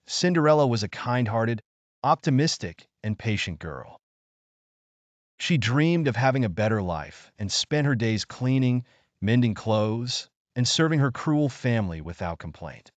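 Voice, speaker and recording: A man's voice: medium pitch, dry recording. A man narrating a scripted fairy tale as a bedtime story for children.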